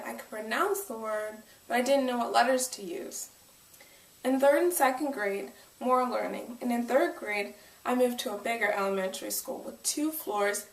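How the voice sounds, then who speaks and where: A woman's voice: a little high, creaky. A woman in her twenties with an American accent, giving a prepared autobiographical speech. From United States. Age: 20s. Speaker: speaker, self-published author. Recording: echoey room, distant microphone.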